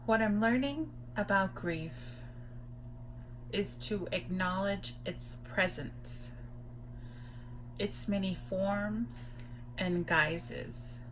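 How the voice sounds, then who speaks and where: A woman's voice: mid-range voice, very slow, pauses often. A woman reading a poem aloud solemnly, with a faint hum on the recording. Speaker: poetry reader. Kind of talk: solemn spoken poetry reading.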